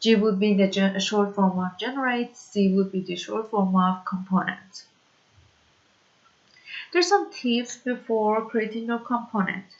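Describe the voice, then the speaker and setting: A woman from Iran, whose first language is Persian, teaching a programming tutorial in slow, hesitant English full of fillers. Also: mid-range voice, highs cut off. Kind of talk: screen-recorded coding tutorial.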